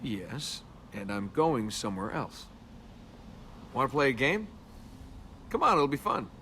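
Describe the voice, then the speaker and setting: Casting: flippant young rogue adventurer for a video game, very lively pitch swings, sarcastic banter, clear background noise. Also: male voice, measured pace, full character voice. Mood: guarded. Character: cocky, wisecracking young male adventurer and tomb robber.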